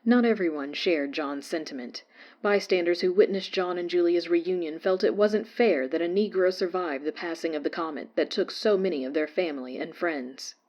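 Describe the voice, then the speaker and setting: A woman playing a radio newscaster who reads a disaster report in a measured, formal way. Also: lowish voice, darkish tone, lo-fi sound, close to an everyday voice. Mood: sober, formal. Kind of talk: scripted news report in audio drama.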